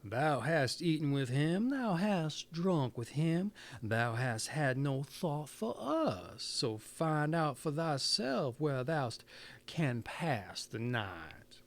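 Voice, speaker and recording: A man voicing enchanted farm animals in a storybook, slow, solemn and reproachful in archaic phrasing. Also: fairly high pitch, sing-song, exaggerated voice. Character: stern talking farm animals answering as one in archaic verse.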